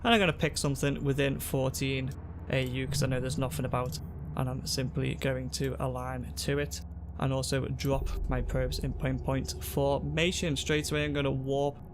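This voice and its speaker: A young man with a sore throat and a congested voice, calmly explaining a game to viewers on a headset mic. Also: medium pitch, normal speed, background noise. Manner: relaxed, explanatory, talks to viewers. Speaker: gaming youtuber. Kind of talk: casual gameplay tutorial. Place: home room at a desk.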